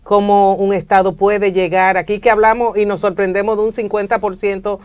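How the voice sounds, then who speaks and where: A woman's voice: medium pitch, level tone, normal speed, a little mellow, no echo. A woman with a Dominican accent hosting a TV interview on economics, speaking with frequent fillers and restarts. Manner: many fillers and restarts, reads viewer questions aloud. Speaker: show host.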